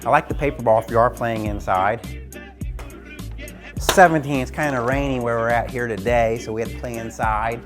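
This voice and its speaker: A man's voice: medium pitch, fairly even intonation, moderate pace, over music. A man coaching a home exercise game as a gym teacher, casual, encouraging and instructive, speaking indoors.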